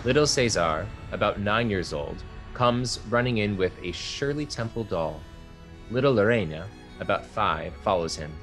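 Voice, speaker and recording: A man calmly reading stage directions aloud at a play table read. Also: lowish voice, normal speed, soft background music. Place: art exhibition theater area.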